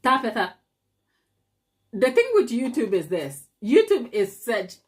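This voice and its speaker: A woman's voice: on the high side, sing-song, unhurried. A woman from Ghana with a Ghanaian accent, whose first language is not English, chatting emphatically to viewers on a live stream as a cake maker and channel host. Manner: emphatic, talks straight to viewers, rhetorical questions.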